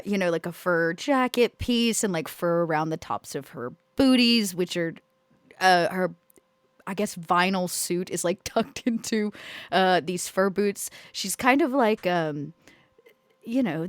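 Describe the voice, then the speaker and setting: A woman's voice: fairly low pitch, lively intonation, unhurried, no room sound. A woman playing in a tabletop role-playing game, describing her character's outfit casually with many "like"s. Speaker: player.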